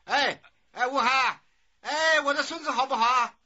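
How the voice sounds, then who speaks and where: A man's voice: very high-pitched, moderate pace, heavily acted. An actor playing a fussy, suspicious old grandfather in a radio drama, blustering and repeating his questions. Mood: puzzled, agitated. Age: older. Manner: loud, blustering, repetitive questioning. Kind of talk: Chinese radio drama acting. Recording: dull lo-fi audio with music.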